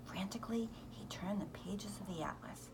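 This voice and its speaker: A woman reading a children's novel aloud in an expressive, animated storytelling manner. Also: mid-range voice, normal speed, whispered.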